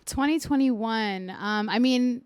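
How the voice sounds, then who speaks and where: A woman singer from Canada with a loud, projecting voice, chatting casually as an interview guest. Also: a little high, slow pace, clear, resonant tone, no room sound, studio quality.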